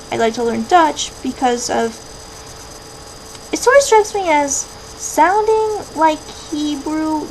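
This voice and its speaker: A young American woman talks casually to camera, answering viewers' questions. Her voice sits fairly high and crackles with vocal fry. She interrupts herself with rambling asides, and there is clear background noise in the recording. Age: 20s. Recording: clearly noisy background hiss and ambience.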